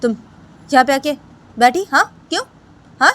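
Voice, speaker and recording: A woman's voice: high voice, lively intonation, normal speed, a bit bright, slight background noise, pitched above the performer's own voice. A woman voicing a stern, bossy aunt in a family story, giving curt, repeated orders. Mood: stern, annoyed.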